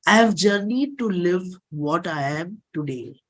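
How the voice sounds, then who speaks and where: A middle-aged performer with an Indian accent, giving a dramatic autobiographical reading in measured, deliberate phrases. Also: medium pitch, expressive, a bit bright. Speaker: singer and performer.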